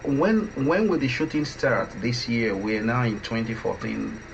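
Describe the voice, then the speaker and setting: A man hosting a conversational radio interview, halting and repeating himself as he asks questions. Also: mid-range voice, gravelly. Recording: dull, faintly noisy line.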